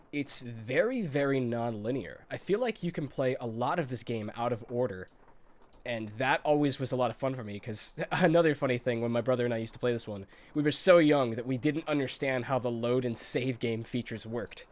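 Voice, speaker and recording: A man's voice: mid-range voice, lively intonation, a little fast. A congested man with a cold giving chatty, nostalgic commentary while streaming a video game, laughing often.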